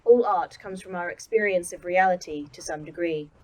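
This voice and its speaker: A woman voicing a calm female AI that runs a secret facility, speaking in a precise, dry manner in an audio drama. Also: fairly low pitch, moderate pace, close to an everyday voice, rough recording. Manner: precise, dry, oddly proud of hobbies.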